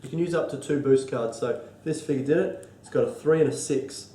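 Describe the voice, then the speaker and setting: An Australian man with a cold and a stuffy nose, explaining board game rules in a halting, casual way full of ums and restarts. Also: medium pitch, warm tone, echoey room. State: congested from a cold. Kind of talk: casual tabletop rules walkthrough.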